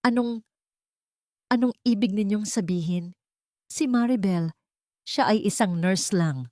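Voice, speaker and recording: A woman's voice: medium pitch, a little slow, dark tone, dry recording, studio quality, voice pushed up, natural voice. A woman voicing a stunned army officer husband in an audio story, faint and faltering. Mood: stunned, bewildered.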